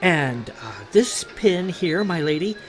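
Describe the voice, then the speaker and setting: An eager, nerdy young lord chatters proudly in a high, bouncy voice, put on by a man reading a story. He sounds pleased with himself. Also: very animated, slow pace, faint music behind.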